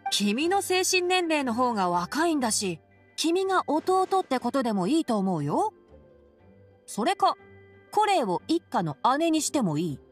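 A woman voicing a clever young male scholar in an anime game, measured and gently dry. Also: sits high, big pitch swings, soft background music. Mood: dry, teasing. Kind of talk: Japanese anime game voice acting.

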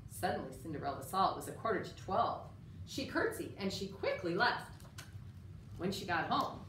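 A middle-aged woman reading a children's picture book aloud in an expressive, measured storytelling style. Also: on the low side. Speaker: storyteller at a library. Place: indoor room with story sign. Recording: roomy, distant mic, faint room noise.

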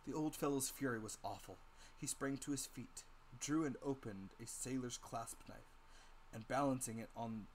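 A man narrating an audiobook as a dramatic reading, with deliberate, theatrical emphasis. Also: mid-range voice, slight background noise, poor audio.

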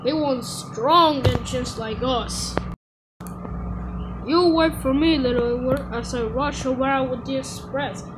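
A pompous big blue cartoon train, as acted out by a woman, full of boasts and grumbles. The voice sits high and takes its time, with theatrical self-importance. Clear noise runs through the homemade recording. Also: slow. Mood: smug. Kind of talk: character voice reel, fan performance.

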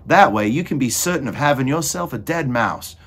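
A man voicing a bossy, mouse-hating female cook in a storybook, barking scolding orders in a husky shout. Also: low-pitched, huge pitch swings, takes it slowly, full character voice. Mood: angry, commanding.

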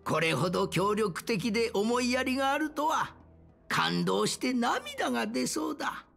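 Creaky wry old-timey ruler from a game, pompous, swooping up and down. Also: female voice, fairly high pitch, very animated, a little slow, a bit bright, faint music behind, full character voice. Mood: sarcastic. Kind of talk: theatrical game voice acting.

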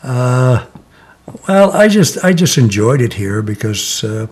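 Older man, husky voice, halting pace. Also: medium pitch, big pitch swings, a little mellow. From Connecticut, USA. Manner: slow, reflective, many "uh" pauses. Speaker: interviewee. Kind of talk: oral history interview. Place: living room, seated in armchair.

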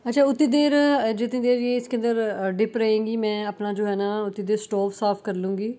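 A young adult woman chatting through a home cleaning vlog as a relaxed voice-over, full of fillers and restarts. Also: medium pitch, moderate pace. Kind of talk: casual voice-over narration. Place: home kitchen.

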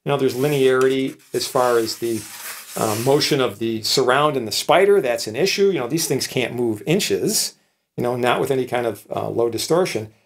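A man's voice: mid-range voice, animated, moderate pace. A man in his sixties, a professor explaining things informally, in a conversational way with frequent checking tag questions. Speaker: professor, lecturer. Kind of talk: informal explanatory electronics lecture.

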